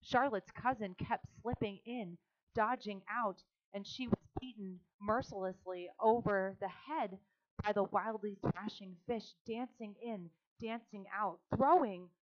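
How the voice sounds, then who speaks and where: A woman's voice: medium pitch, unhurried, dull audio, voice pushed up, close to an everyday voice. A woman voicing an earnest, imaginative young farm girl who talks eagerly and insistently. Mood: excited.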